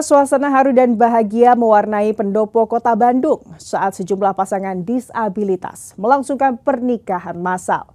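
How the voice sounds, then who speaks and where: A woman's voice: medium pitch, warm tone, no echo. A woman with an Indonesian accent presenting scripted news as an anchor.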